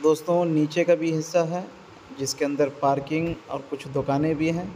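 A man's voice: on the high side, little pitch movement, moderate pace. A young man filming a casual walking vlog on a phone held at arm's length, pointing things out to his viewers as he moves through a mall and onto a metro. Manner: talks to viewers while walking, repeats "ye dekhiye". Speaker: vlogger. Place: large shopping mall, indoors, then street and metro. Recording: loud background noise from mall and traffic.

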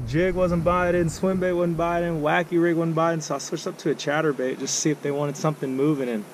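A man in his thirties, a fishing vlogger, talking casually and excitedly to an action camera outdoors on a river. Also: on the high side, fast talker, a little hiss or hum. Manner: excited, slangy, hyped-up. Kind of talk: casual vlog talk to camera. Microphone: action camera on a pole.

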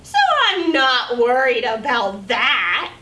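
A young woman reading a picture book aloud as a children's storyteller, with animated, exaggerated delivery, in a small room at a distance from the mic. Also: fairly high pitch, takes it slowly. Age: young adult. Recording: roomy, distant camera mic, faint noise.

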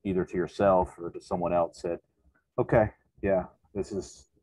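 A man with a deep, flat voice works through a long, winding question at a press conference. The sound is dry and close, over a video call. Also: moderate pace, slightly rough, no echo, low-quality recording. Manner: meandering, thoughtful phrasing. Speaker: sports reporter.

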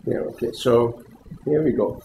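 A middle-aged man from Pakistan, a consultant haematologist, giving a conference talk to patients in a small room, halting and full of fillers. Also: medium pitch, expressive, vocal fry, warm tone, a little hiss or hum. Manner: hesitant, many fillers, rambling asides.

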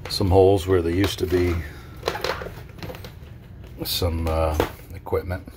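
A very low-voiced man ambles through a casual boat tour, talking slowly and thinking aloud. He is recorded outdoors on a camera mic, with clear wind and water noise behind him. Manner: relaxed, thinking aloud, trailing off. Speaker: boat owner touring a yacht. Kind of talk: casual walkthrough commentary. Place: outdoors on a docked boat in a marina.

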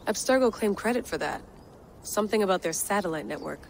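A woman's voice: mid-range voice, animated, quick pace, gravelly. A determined young agent, intense and focused. Faint ambient noise sits behind her. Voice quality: intense, driven.

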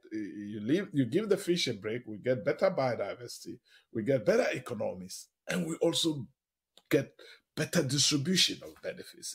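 A man with a husky voice talks as an expert guest on a radio interview. His pitch swings widely as he explains his points with emphasis and open enthusiasm. He often punctuates them with small rhetorical questions. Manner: animated, emphatic, with short rhetorical tag questions.

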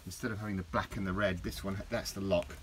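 A middle-aged man with a low voice, rambling through a casual hands-on garden demonstration with lots of restarts. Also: little pitch movement, quick pace, slight background noise. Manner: rambling, with many restarts and fillers. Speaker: DIY vlogger. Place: outdoors in a garden.

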